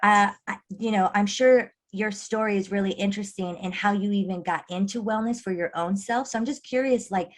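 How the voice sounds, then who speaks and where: A woman hosting an interview, asking questions in a quick, encouraging way. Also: mid-range voice, steady tone. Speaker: host. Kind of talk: casual interview talk.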